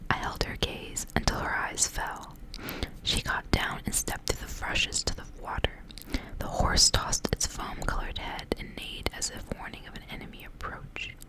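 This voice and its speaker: A woman's voice: normal speed, low-quality recording. Whispering woman reading a story aloud. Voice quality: breathy whisper. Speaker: ASMR host reading aloud.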